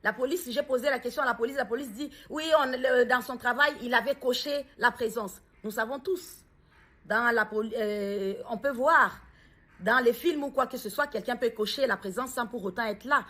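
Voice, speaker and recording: A grieving mother speaking out in a personal video, hesitant and halting as she searches for words, then pressing earnest questions. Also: fairly high pitch, bright, forceful tone.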